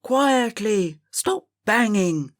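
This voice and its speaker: A woman's voice: mid-range voice, animated, very slow, no room sound, studio quality, pitched above the performer's own voice. A woman reading an audiobook, voicing a stern, impatient elderly male schoolmaster who barks orders at his class. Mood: shouting. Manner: barking, scolding commands.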